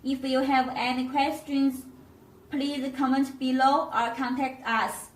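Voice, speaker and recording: High-voiced woman demonstrating in an echoey room. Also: flat delivery. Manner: halting non-native phrasing. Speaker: product demonstrator. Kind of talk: step-by-step product tutorial. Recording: roomy echo, distant microphone.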